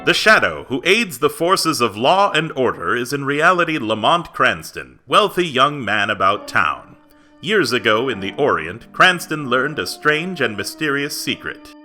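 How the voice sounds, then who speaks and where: 1940s radio pitchman, animated intonation, bright forceful tone, formal, emphatic, music behind. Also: unhurried, full character voice. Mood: earnest, urging. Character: brisk, earnest 1940s radio announcer and pitchman.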